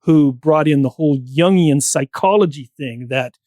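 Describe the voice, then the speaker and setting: A man speaking as a podcast guest in a thoughtful discussion, explaining ideas with halting pauses. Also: a little high, dark tone, no room sound, clean studio sound. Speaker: podcast guest, playwright and actor.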